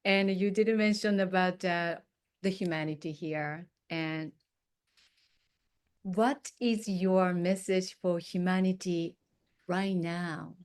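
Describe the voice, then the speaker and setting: A woman hosting a casual interview as a non-native English speaker, effusive, with halting phrasing. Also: lowish voice, fairly even intonation, clean tone. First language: not English. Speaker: interview host, coach.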